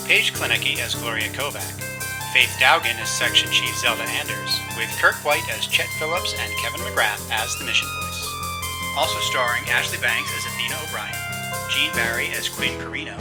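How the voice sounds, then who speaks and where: A male announcer reads scripted show credits. His voice sits at a medium pitch, with loud music playing behind him.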